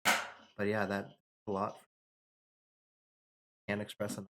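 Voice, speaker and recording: Low-voiced American man narrating a script. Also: monotone, slow pace, heavy background noise. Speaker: writer and narrator leading a script table read. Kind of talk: casual reading of screenplay action and post-read chat.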